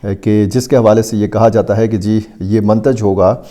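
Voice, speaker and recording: A Pakistani man with a fairly low, very mellow voice delivers off-the-cuff news commentary. He speaks in a deliberate, halting way, often stretching out filler sounds as he explains events to his viewers. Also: steady tone. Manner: halting, deliberate, with frequent drawn-out hesitation sounds.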